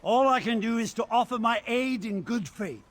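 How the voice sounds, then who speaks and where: An actor playing an earnest, proud eastern Nord leader who speaks in a reflective way in a video game cutscene. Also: male voice, very high voice, moderate pace.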